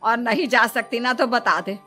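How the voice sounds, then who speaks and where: A woman playing a high, scolding cartoon mother-in-law. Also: moderate pace, sharp tone, faint music behind. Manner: scolding, melodramatic. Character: quarrelsome, dramatic older mother, scolding and self-pitying. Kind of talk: Hindi cartoon dialogue.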